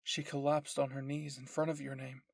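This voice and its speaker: A narrator reads a dramatic scripted story. The pitch sits in the middle. The sound is dry and close, with hardly any room to it. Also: little pitch movement, normal speed, vocal fry, no room sound. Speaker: narrator and voice of all characters.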